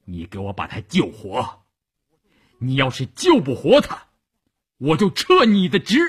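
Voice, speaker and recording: A man's voice: low-pitched, normal speed, breathy edge. A man playing a stern senior army commander in an audiobook, imperious and insistent with a subordinate. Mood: stern worry. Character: stern male column commander threatening a subordinate by telephone.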